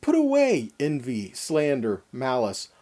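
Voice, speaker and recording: A man with a mellow, relaxed tone delivers a teaching sermon. His pitch moves expressively as he stresses key words, reads scripture and puts pointed questions to the listener. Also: unhurried. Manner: emphatic, dramatic stresses, rhetorical questions to listeners. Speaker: Bible teacher giving a weekly Torah portion lesson.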